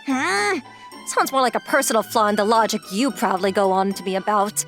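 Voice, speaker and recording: An actress playing a petite, haughty young interviewer with a childlike cuteness and a huffy, scolding manner in a manga dub. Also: female voice, medium pitch, a little fast, a bit bright, lightly acted. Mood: indignant, flustered. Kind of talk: scripted manga dub dialogue. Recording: prominent jingly music behind the voice.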